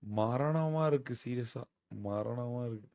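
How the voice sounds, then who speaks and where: A man hosting a casual gaming live stream, excitable and given to repeating himself. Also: mid-range voice, slow pace.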